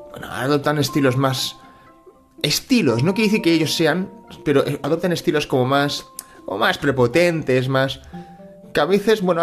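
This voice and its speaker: A middle-aged Spanish man from Catalonia speaks in a thoughtful, hesitant monologue with many fillers and restarts, as host of his personal finance channel. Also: on the high side. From Spain (Catalonia). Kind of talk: reflective casual monologue.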